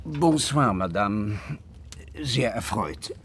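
An actor playing a drunken, self-pitying old painter in a German radio drama, speaking in a theatrical, declamatory way. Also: male voice, fairly low pitch, lively intonation, drawn-out words, a bit bright, a little hiss or hum, exaggerated voice. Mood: courteous. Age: older. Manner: theatrical, declamatory, emotionally volatile.

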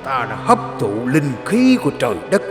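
A man's voice: on the high side, wildly swooping pitch, moderate pace, pitched above the performer's own voice, heavily acted. Man playing a gloating evil spirit in cavernous echo. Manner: taunting, menacing, theatrical. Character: vengeful gloating evil spirit freed from a seal. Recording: echoey long reverb with clear music.

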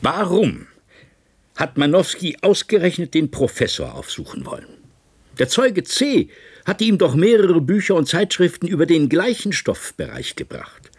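An actor playing a grave, probing chairman of an inquiry, speaking formally and deliberately in a 1970s radio play. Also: on the high side, wildly swooping pitch, slightly rough, heavily acted.

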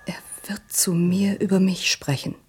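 An actress playing a self-assured young princess in a radio play, cool, teasing and frank about love. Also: female voice, little pitch movement, measured pace, a little mellow, a little hiss or hum, natural voice. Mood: lovestruck, insistent. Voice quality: low, husky. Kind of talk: German radio play acting.

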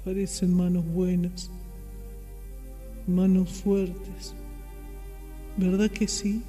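A man playing a despairing gentle giant in a radio drama, soft-voiced, mournful and resigned. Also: high-pitched, level tone, extremely slow. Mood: defeated, mournful. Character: despairing gentle giant with big strong hands. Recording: long reverb with string music.

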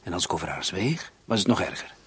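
An actor playing a defensive, irritable murder suspect in his late thirties, husky and breathy, in a radio drama. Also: deep voice, very animated, quick pace, a bit bright, heavily acted. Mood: bitter confession. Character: defensive, irritated 37-year-old male murder suspect in love. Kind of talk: Dutch-language radio drama dialogue.

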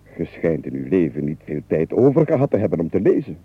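Rich lordly nobleman, low voice, swooping emphatic delivery, husky, formal, comic radio play. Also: sing-song, a little fast, dry recording, exaggerated voice. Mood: amused, exasperated. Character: rich male nobleman, haughty yet amused. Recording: muffled narrow-band 1980s radio recording.

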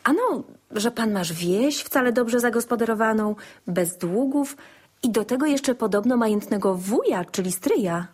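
A naive young provincial maiden in a period comedy radio play. She speaks in a high, breathy-edged voice with bouncy, animated intonation. Her manner is artless, chirpy and giggly. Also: moderate pace. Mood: artless, matter-of-fact.

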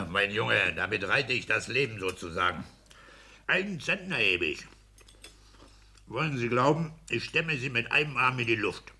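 An actor playing a pompous, self-satisfied petty-bourgeois husband and landlord in a declamatory 1960s German radio play style. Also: male voice, lowish voice, sharp tone, breathy edge, exaggerated voice. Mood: boastful. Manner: pompous, declamatory, bullying joviality.

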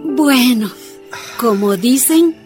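A woman's voice: a little high, lively intonation, extremely slow. An actress playing a spirited young woman in love in a radio drama, with music behind her. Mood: tender, playful. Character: spirited young Bolivian miner's daughter in love.

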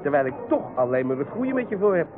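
A man's voice: fairly high pitch, big pitch swings, fast talker, background noise. A man playing a cheeky, flirtatious rogue in a radio play, teasing and wheedling. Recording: narrow, phone-like radio recording.

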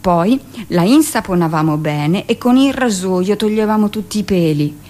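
Radio monologue: woman as hardened midwife, medium-pitched, matter-of-fact storyteller. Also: expressive, normal speed, a little mellow, close to an everyday voice. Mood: matter-of-fact recollection. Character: midwife recounting harsh hospital deliveries, matter-of-fact, hardened. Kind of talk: theatrical radio monologue, narrated recollection.

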